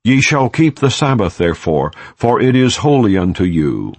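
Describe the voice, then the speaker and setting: Guy reading bible audiobook, low voice, measured and solemn, pitch moves a lot, very dry sound. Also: very animated, darkish tone, dry recording. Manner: solemn, measured, formal delivery. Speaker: audiobook narrator. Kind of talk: scripture reading, non-dramatized audiobook narration.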